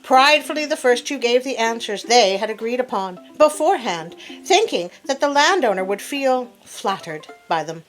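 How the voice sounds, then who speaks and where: A woman telling a fable as host in an expressive, theatrical read-aloud style with playful asides. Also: on the high side, normal speed, few pauses, poor audio. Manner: theatrical, animated. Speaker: storyteller host. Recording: classical music playing behind. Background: soft piano sonata.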